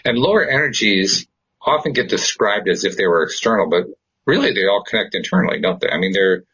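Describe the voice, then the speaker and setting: Low, very husky man chatting casually. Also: lively intonation, brisk, pushed and bright, poor audio. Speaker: talk show co-host. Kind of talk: casual unscripted conversation.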